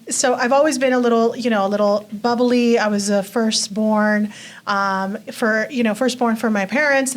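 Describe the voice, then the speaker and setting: A woman in her forties, from Mexico, telling her life story in a casual, chatty way with many fillers. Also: mid-range voice. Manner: chatty, lots of fillers like "you know" and "like". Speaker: interview guest.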